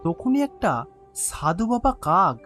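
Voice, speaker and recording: A man's voice: sits high, animated, unhurried, over music. A man narrating a scripted children's bedtime story.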